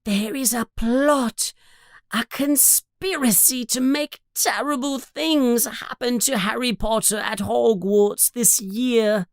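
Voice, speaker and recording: Woman acting out storybook house elf, very high voice, slow delivery, servile, fearful, pleading, dramatic. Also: no echo, clean studio sound. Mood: urgent warning. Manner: pleading, dramatic, speaks of himself in third person. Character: frightened, devoted, self-punishing male house elf servant.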